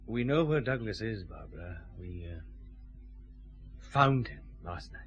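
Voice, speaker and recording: An actor playing a calm, authoritative detective chief inspector with a Scottish accent in a radio drama. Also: male voice, lowish voice, measured pace. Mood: grave, gentle.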